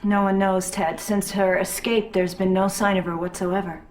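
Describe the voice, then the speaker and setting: Casting: actress playing female news correspondent, grave and measured, mid-range voice, game cutscene. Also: medium pitch, level tone, darkish tone, close to an everyday voice. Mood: matter-of-fact. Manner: measured, ominous. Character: grave, dramatic female television news reporter. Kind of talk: news report delivery.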